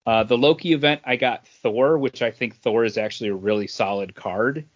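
A man co-hosting a casual gaming chat, talking informally and a bit haltingly in loose, wandering sentences. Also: mid-range voice, dull audio. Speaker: podcast co-host.

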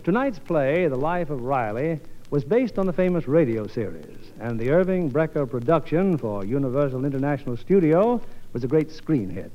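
A man's voice: a little high, very animated, moderate pace. A man hosting a 1950s radio show with formal, theatrical, polished scripted narration. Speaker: radio show host and producer. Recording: muffled old radio broadcast, music.

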